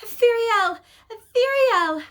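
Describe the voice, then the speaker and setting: A woman plays a lost little girl from a storybook, frightened and upset. The voice leaps high and swings widely as she pleads and cries out. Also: expressive, extremely slow, a bit bright, heavily acted. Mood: desperate cry. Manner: pleading, crying out.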